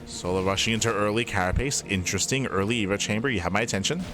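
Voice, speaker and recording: A man from Australia with a mild Australian accent giving fast, chatty live commentary on a strategy game, with lots of fillers. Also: on the low side. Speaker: esports caster. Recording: music playing behind.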